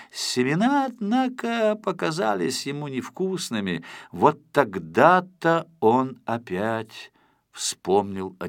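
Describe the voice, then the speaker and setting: A man narrating a children's story from a script in an expressive, theatrical storytelling manner with dramatic emphasis. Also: mid-range voice, dry recording, clean studio sound. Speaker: audiobook narrator.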